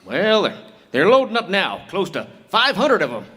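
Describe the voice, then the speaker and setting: A man's voice: high voice, huge pitch swings, normal speed, bright, forceful tone, exaggerated voice. An actor playing a talkative, folksy railroad fireman who rambles a bit, in a stage reenactment of an old-time radio drama. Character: chatty male railroad fireman sidekick. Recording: reverberant theater hall.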